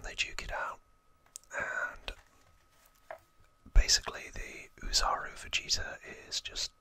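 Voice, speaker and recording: Casting: ASMR narrator, fully whispered and breathy, unhurried delivery, informal explainer tone. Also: pushed and bright. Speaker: ASMR host.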